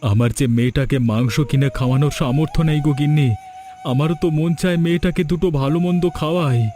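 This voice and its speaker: A man's voice: a little high, quick pace, warm tone, soft background music, natural voice. A man voicing a humble, poor farm labourer and devoted family man in a cartoon folk tale. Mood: plaintive, ashamed.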